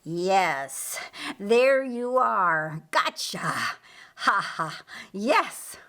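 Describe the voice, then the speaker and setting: A woman voicing a proud, cruel male Lucifer in an audiobook story, gloating with menace and savoring drawn-out words. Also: medium pitch, expressive, a bit bright, heavily acted. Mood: sinister gloating.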